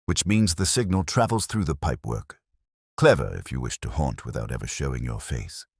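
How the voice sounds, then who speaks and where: A man reads as a coolly precise detective in an audiobook mystery. His voice is extremely low and husky, and he speaks in a crisp, dry, commanding manner. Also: lively intonation, fairly quick, no echo, full character voice.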